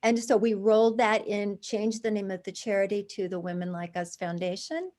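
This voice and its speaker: Woman, 60s, interview guest; animated storyteller who restarts and adds asides. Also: mid-range voice, normal speed. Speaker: guest, charity founder. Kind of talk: conversational interview.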